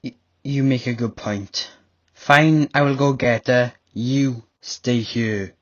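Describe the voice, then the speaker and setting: A man's voice: medium pitch, slow pace, fairly bright tone, no room sound. Impatient fantasy nobleman played by a man, muffled sound. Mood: grudging. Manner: formal, haughty, impatient. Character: busy, impatient male fantasy noble with meetings to attend. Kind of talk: scripted fantasy audio roleplay.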